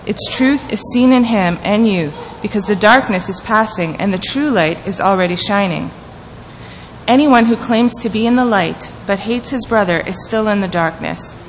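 A woman's voice: mid-range voice, normal speed. A woman earnestly reading scripture and leading a prayer in a church. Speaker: scripture reader and prayer leader. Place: church sanctuary. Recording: church hall reverb with faint room noise.

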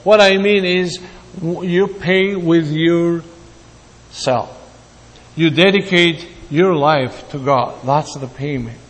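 A man from Greece preaching a sermon in a deliberate way, repeating words for emphasis. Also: on the high side. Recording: long hall reverb.

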